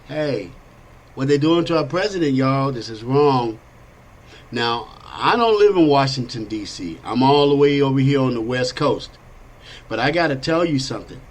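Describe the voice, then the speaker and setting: Middle-aged guy hosting his talk show, rambling, kinda high voice, all over the place. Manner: rambling and animated, with restarts. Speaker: podcast host. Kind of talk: casual political talk show.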